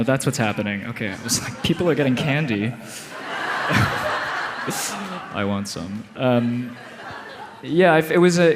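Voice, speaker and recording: An American man speaking as an actor guest in a live panel conversation on stage, animated and prone to restarts. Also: on the low side, slight background noise. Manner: animated, laughing, many restarts. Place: stage before live audience.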